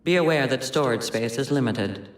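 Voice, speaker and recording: An actor playing a detached, officious overseer giving a formal, matter-of-fact briefing in a video game. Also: male voice, mid-range voice, normal speed, natural voice. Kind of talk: cinematic video game acting. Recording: echoey, reverberant voice.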